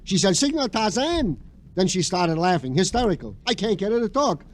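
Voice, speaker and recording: An actor playing an excitable, simple-hearted police sergeant in an old radio crime drama, rambling and plaintive. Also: male voice, sits high, a little fast. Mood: exasperated. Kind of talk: 1940s-50s radio crime drama comic acting. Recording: vintage radio recording with noise.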